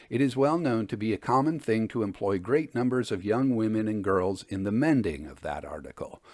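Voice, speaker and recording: A man hosting a storytelling show, reading scripted narration and old ghost tales in a measured, deliberate way. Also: lowish voice, darkish tone, no echo, studio quality. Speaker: podcast host and storyteller.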